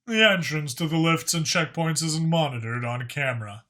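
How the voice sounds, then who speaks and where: A man voicing a composed, authoritative dragon spymaster in an audiobook, measured, formal and a little wry as he explains things. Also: a little high, bright, forceful tone. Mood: matter-of-fact. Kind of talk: audiobook character acting.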